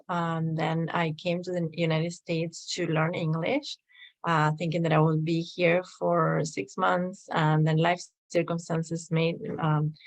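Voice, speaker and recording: A woman from Argentina with an Argentinian Spanish accent, a native Spanish speaker, answering a practice interview question hesitantly with many pauses. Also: lowish voice. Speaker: workshop participant.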